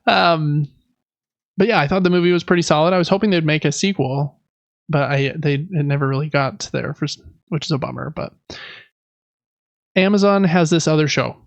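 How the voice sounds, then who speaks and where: A man's voice: a little high, normal speed, vocal fry, pauses often, no room sound, lo-fi sound. A man hosting a gaming podcast, running through news in a casual, conversational and informal way. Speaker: podcast host.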